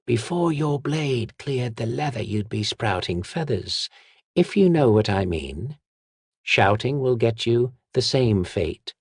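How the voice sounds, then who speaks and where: A man's voice: mid-range voice, lively intonation, takes it slowly, creaky, darkish tone, slightly rough, dry recording, clean studio sound, voice pushed up, heavily acted. A man voicing a menacing female body snatcher who speaks in a rattling, hissing whisper.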